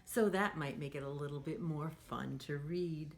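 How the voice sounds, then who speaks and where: A woman hosting children's storytime, reading a picture book aloud in an expressive, sing-song manner and talking directly to the young listeners. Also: low-pitched, normal speed.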